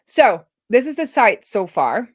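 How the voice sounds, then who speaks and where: A woman hosting a live coding stream, chatting informally and telling things in an animated, conversational way. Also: a little high, measured pace, no echo, studio quality. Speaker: livestream host and web developer.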